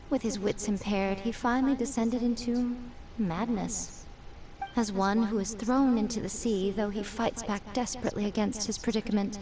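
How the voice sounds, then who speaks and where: An actress playing a wise, elegant shrine lady in a video game, speaking in a measured, lofty, faintly amused way. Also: on the high side, lightly acted. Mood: grave.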